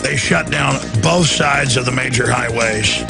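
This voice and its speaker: A hoarse, raspy man hosting a radio talk show, straining his voice as he rants in indignant political commentary from a studio. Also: mid-range voice, a little slow, loud music behind the voice. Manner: ranting, indignant.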